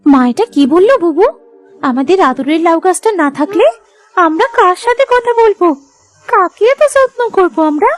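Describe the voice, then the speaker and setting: A woman's voice: very high voice, expressive, normal speed, no room sound, faint music behind. A woman playing a tender, sensitive young village daughter-in-law in a cartoon, with a sweet, childlike, pleading manner. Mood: upset. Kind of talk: animated moral story acting.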